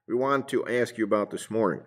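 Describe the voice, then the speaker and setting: A man playing a calm police detective in an amateur radio drama, putting brief, plain questions. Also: on the low side, brisk, lightly acted. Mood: calm, inquiring. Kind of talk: 1950s radio drama acting, amateur church production.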